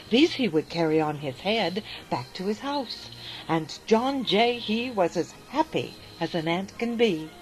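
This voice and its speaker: A woman narrating a rhyming storybook aloud with a lilting, expressive delivery, with faint music behind. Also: on the low side, rough recording. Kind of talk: storybook reading in rhyme.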